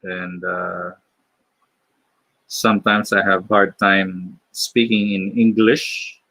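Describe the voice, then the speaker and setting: A man from the Philippines with a Filipino accent, chatting casually on a live stream while drawing, halting, with many fillers and restarts. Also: low voice, level tone, bright, forceful tone, no echo, rough recording. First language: Filipino (Tagalog). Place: home.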